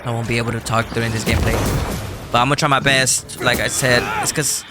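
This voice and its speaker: A man losing his voice from illness talks casually to his viewers, apologetic and rambling, clearing his throat often. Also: mid-range voice, level tone, fast talker, heavy background noise. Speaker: gameplay YouTuber. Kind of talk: casual talk to viewers before gameplay.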